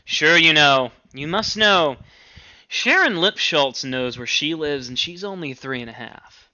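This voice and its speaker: A man's voice: on the high side, expressive, a little slow, bright, forceful tone, dull audio, heavily acted. A man voicing a whimsical, teasing young man in a story, with playful mock-serious emphasis.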